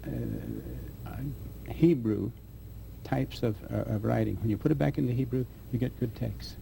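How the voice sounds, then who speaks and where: A man answering interview questions as a scholar, speaking haltingly with restarts mid-phrase. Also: medium pitch, very animated, creaky, mellow, slight background noise, rough recording. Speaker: guest scholar.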